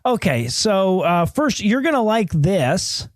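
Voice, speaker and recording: A man playing a knowing, deadpan bookstore clerk with a halting delivery in a comedy sketch. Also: high voice, no echo, studio quality, heavily acted.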